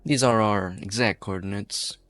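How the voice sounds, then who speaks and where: A man playing a calm, menacing leader of hostage takers in an amateur game fan dub, unhurried, folksy and coolly threatening. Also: on the low side, no room sound, soft background music, natural voice.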